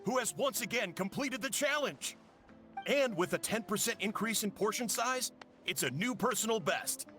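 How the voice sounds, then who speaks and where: A man's voice: sits high, animated, moderate pace, music in the background. A man playing a showy announcer calling out a contest result in a game cutscene. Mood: proclaiming.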